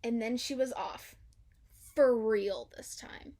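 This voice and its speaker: A woman's voice: on the high side, slow pace, a little mellow. A woman narrating a children's story aloud, expressively and with dramatic emphasis. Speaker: storybook reader.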